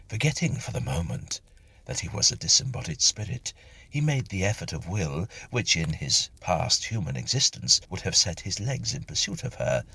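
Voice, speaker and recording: A man reading a whimsical literary ghost story aloud in an expressive, theatrical and precise storyteller's manner. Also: deep voice, creaky, husky. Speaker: story narrator and reader.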